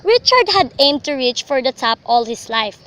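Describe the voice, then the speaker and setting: A young woman narrates a radio drama. Her voice sits high and has a bright, forward edge, and she delivers the scripted story as a storyteller. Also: very animated, moderate pace. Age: young adult.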